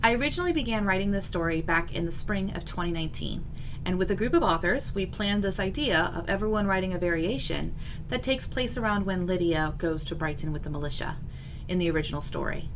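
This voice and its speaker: A woman's voice: lowish voice, animated, brisk. A middle-aged woman talking to camera as an author introducing her book, chatty and wry with knowing asides, in a home office. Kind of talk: semi-scripted video introduction to camera. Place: small home office with bookshelves, indoors. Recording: clearly noisy room recording.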